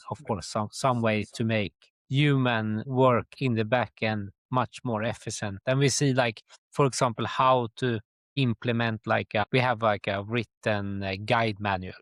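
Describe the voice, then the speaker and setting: A middle-aged man from Sweden with a Swedish accent, answering interview questions conversationally in a halting way, often dropping in "like". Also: mid-range voice, fairly bright tone, no room sound. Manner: halting, with very frequent "like". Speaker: guest, tour company chief executive.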